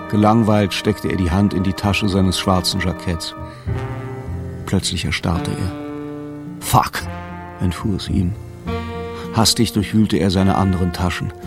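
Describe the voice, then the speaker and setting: An actor as the narrator of a noir crime thriller, reading scripted literary prose in a measured, suspenseful way. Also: male voice, low voice. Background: music with guitar.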